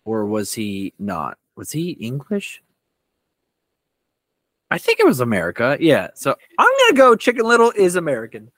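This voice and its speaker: A man co-hosting a casual podcast, bantering through a quiz in a joking, animated way. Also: mid-range voice, moderate pace, no room sound, studio quality. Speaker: podcast co-host.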